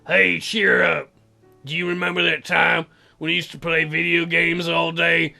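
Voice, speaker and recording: A stubborn, wounded teenage boy from a dating game, acted out by a man. The voice is strained and very gravelly, pitched high for a male, and speaks slowly. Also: level tone, pushed and bright, soft background music, exaggerated voice. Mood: teasing. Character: stubborn, hurt teenage boy, childhood friend. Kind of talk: reading visual novel dialogue aloud.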